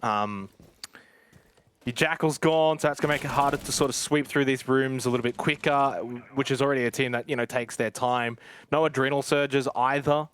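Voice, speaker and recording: A man's voice: mid-range voice, steady tone, moderate pace, a bit bright, slight background noise. A man commentating live on an esports match, switching between play-by-play and conversational analysis.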